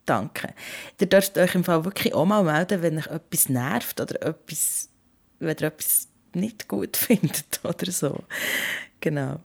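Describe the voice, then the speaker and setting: A woman's voice: deep voice, a little slow, creaky. A young woman hosting a podcast in a casual, chatty style. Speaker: podcast host.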